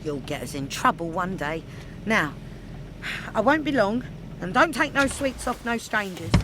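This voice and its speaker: A woman's voice: medium pitch, animated, unhurried, noisy background, close to an everyday voice. A woman acting a nervous, nagging wife with a London accent in a comedy radio play. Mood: weary nagging. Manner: scolding, anxious. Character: nervous, nagging wife of a reckless cab driver.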